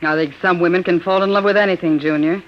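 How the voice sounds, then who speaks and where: A woman's voice: lowish voice, fairly even intonation, quick pace, slight background noise, lightly acted. An actress playing a nervous, fretful gangster's girlfriend in a 1950s radio drama, with a breathless manner. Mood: uneasy. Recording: dull lo-fi old radio recording.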